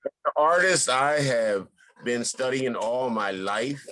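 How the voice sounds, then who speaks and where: A man, an artist and stage performer, speaking informally in an interview in a halting, deliberate way with many pauses. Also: mid-range voice, bright, forceful tone, rough recording. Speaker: stage play cast member, artist.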